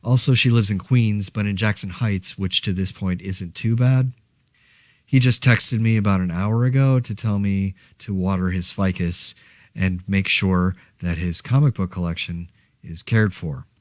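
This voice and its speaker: An actor playing a laid-back man podcasting alone through a zombie outbreak, rambling in a wry, deadpan, hesitant way. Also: sits low, a little slow, no room sound, clean studio sound. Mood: wry, idle. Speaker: podcast host. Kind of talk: casual monologue, fictional audio diary.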